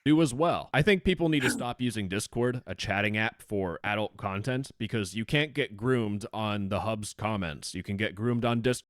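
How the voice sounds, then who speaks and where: A man delivering scripted video commentary in a joking, conversational, animated way, like an online creator. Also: on the low side, moderate pace, few pauses, dry recording, clean studio sound. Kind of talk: scripted commentary with sponsor read.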